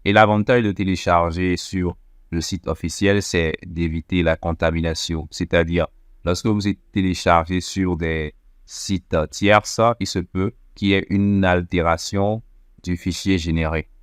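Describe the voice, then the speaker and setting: A man with a very low voice walks through a computer tutorial one click at a time. He speaks methodically, pausing on fillers as he goes. The sound is dry and close, with no room echo. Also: steady tone, clean tone. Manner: step-by-step, methodical with frequent fillers. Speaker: tech tutorial presenter. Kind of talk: screen-recorded software walkthrough.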